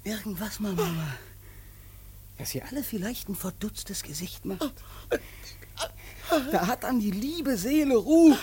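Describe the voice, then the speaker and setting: High-voiced, tormented, defiant young son. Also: male voice, lively intonation, a little slow, pauses often, warm tone, slight background noise. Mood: bitter, threatening. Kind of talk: radio drama acting.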